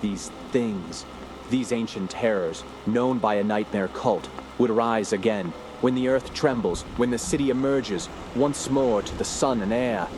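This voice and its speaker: An actor playing a dread-filled investigator, narrating in the first person with solemn, literary drama for a radio play. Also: male voice, medium pitch, a little slow, close to an everyday voice. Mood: ominous. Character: grave, dread-filled male investigator recounting his findings. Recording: clear background ambience noise.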